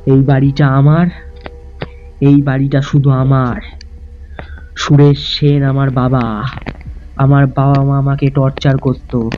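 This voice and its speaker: A man's voice: medium pitch, warm tone, muffled recording, close to an everyday voice. A man voicing a young woman ghost who coaxes her way in and then turns vengeful and menacing. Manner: coaxing, turning menacing.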